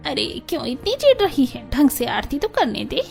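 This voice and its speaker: A woman playing an anxious older mother-in-law in a family story, gently coaxing and placating. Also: high voice, very animated, normal speed, gravelly, soft background music, full character voice. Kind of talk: dramatised Hindi family story acting.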